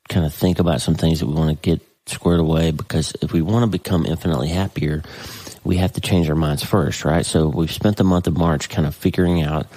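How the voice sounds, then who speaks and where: Very deep, gravelly, congested man. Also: mellow, dry recording, low-quality recording. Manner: earnest and folksy, with many restarts. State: congested, stuffy voice. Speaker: podcast host. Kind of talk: casual faith-based podcast monologue. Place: home office desk, early morning.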